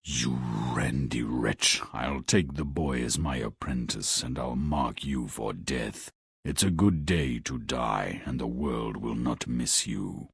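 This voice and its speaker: A man's voice: no echo, clean studio sound, full character voice. A man playing Death as a stern, commanding supernatural godfather in a fairy-tale video game. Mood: menacing. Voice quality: very deep, gravelly, husky. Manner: slow, commanding, ominous.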